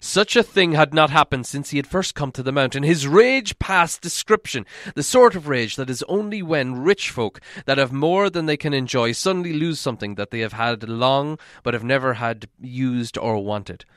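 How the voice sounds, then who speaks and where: A man reading a fantasy story aloud as scripted narration, in a theatrical, deliberate manner. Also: mid-range voice, animated, a bit bright, dry recording, clean studio sound. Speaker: audiobook reader.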